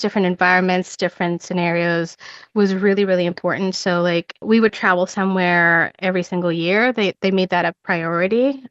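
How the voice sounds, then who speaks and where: A woman's voice: mid-range voice, no room sound, lo-fi sound. A woman in her thirties who grew up in Ecuador, chatting as an interview guest with lots of "like" fillers and easy laughter. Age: 30s. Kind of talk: casual interview chat.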